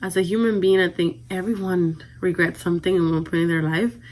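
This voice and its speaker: A woman in her twenties with a Dominican accent answers questions in a relaxed, chatty vlog. Her voice is soft, and she often lets the ends of her lines fade out quietly. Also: lowish voice, fairly quick. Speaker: vlogger. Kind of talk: casual Q&A vlog.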